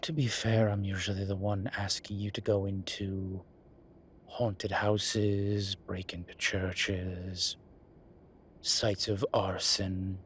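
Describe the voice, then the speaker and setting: A man playing a weary, wry incubus professor in an intimate audio roleplay, speaking in measured, drawn-out phrases. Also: low-pitched, level tone, fairly bright tone, a little hiss or hum. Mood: wry, teasing. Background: ambient sound effects.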